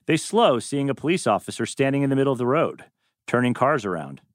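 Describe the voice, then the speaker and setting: A man's voice: medium pitch, lively intonation, quick pace, no room sound, clean studio sound. A man narrating script stage directions at a live table read, slipping in casual asides.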